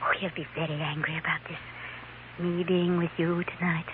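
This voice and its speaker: A woman's voice: lowish voice, little pitch movement, moderate pace, natural voice. Affected genteel stage actress on old noisy radio, acted by a woman. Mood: tipsy, confessing. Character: tipsy, anxious stage actress feigning English gentility. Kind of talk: 1950s radio western acting. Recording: noisy, narrow-band 1950s radio recording.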